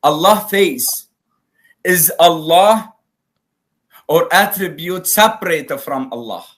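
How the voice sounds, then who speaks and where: A man whose first language is Arabic argues in a live online debate, speaking with a slightly raised pitch. His English is broken, and he works through his point one example at a time. He comes through on a video call. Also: takes it slowly, fairly bright tone. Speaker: debate guest.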